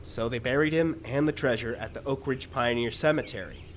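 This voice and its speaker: A man acting a fussy screenwriter in a live radio comedy. He is middle-pitched, plaintive and deadpan, forever suspicious and put upon. Outdoor noise and birds sit behind him. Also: a little fast, close to an everyday voice. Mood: storytelling. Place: outdoor park stage. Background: birds and outdoor ambience.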